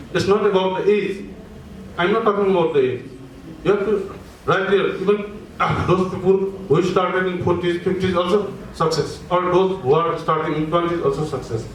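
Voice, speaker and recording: A man giving career advice at a seminar, halting often with pauses and fillers. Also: fairly high pitch, a little hiss or hum. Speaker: senior architect speaker. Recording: echoey hall from far off.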